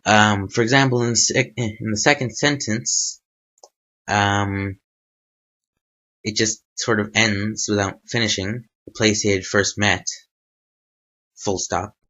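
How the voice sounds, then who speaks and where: A man's voice: on the low side, steady tone, takes it slowly, long pauses, pushed and bright, highs cut off. A man giving an informal spoken review as a host, rambling and hesitant as he thinks aloud. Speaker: host of a fanfiction reading series.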